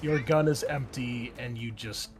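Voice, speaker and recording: A man acting as game master, talking through rules and narrating casually, often halting as he thinks aloud. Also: medium pitch. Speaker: game master of an online tabletop game. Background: music.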